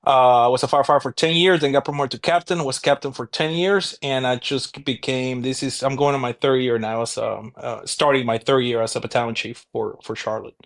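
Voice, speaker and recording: A man's voice: medium pitch, steady tone, brisk, vocal fry, few pauses. A man in his forties, from Guatemala, a fire battalion chief telling his story in an earnest, plainspoken way. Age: 40s. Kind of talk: casual interview.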